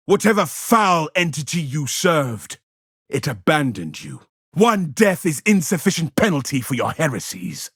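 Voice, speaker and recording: An actor playing a stern, righteous space-marine warrior in a video game, delivering pompous battle declarations in a gravelly, husky voice. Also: male voice, fairly high pitch, big pitch swings, a little slow, fairly bright tone, dry recording, studio quality, exaggerated voice. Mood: scornful.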